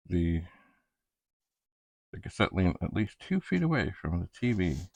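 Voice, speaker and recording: A man thinking aloud in a halting, casual ramble as he hosts a hands-on hobby demonstration, muttering to himself. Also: sits low, creaky, husky. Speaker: retro computer hobbyist host. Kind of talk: casual rambling demonstration while testing equipment.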